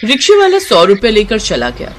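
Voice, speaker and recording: A woman's voice: mid-range voice, wildly swooping pitch, normal speed. Woman narrating a scripted moral story.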